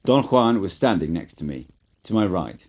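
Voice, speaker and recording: Low, lively male audiobook narrator. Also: normal speed. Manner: expressive, dramatic storytelling delivery. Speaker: amateur audiobook reader. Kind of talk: first-person audiobook narration.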